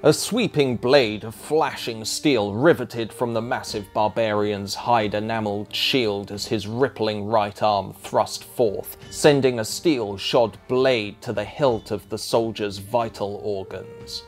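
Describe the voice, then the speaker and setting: A man's voice: fairly low pitch, slow, hardly any pauses, soft background music. A man giving scripted narration as a video essayist.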